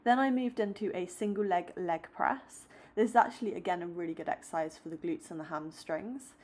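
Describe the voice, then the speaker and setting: Chatty young woman, slightly low voice. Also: a little fast. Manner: chatty, upbeat to camera, then calm step-by-step explanation. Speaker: fitness vlogger. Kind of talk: casual vlog chat and workout voice-over. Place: indoors at home, close to a phone camera.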